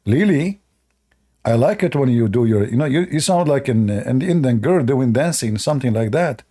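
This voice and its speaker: A man's voice: mid-range voice, lively intonation, moderate pace, warm tone. A man hosting a reaction video, sarcastic and laughing often as he mocks a clip, speaking in broken English. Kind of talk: mocking reaction commentary.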